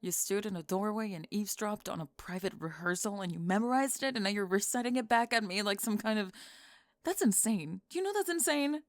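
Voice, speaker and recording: A woman performs a young goth college woman in an intimate ASMR audio drama. She is defensive and sharp-tongued, hiding a crush behind clipped, halting, emphatic phrases. Her voice sits at a medium pitch in a very dry, close recording. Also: animated, dry recording, close to an everyday voice. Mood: indignant, flustered. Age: young adult.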